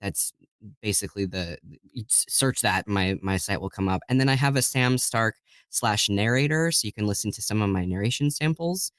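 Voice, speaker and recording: A man's voice: fairly low pitch, lively intonation, normal speed, a bit bright, no room sound. A man chatting casually as a podcast guest, rambling through anecdotes and acting out the lines he quotes. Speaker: podcast guest, voice actor and narrator.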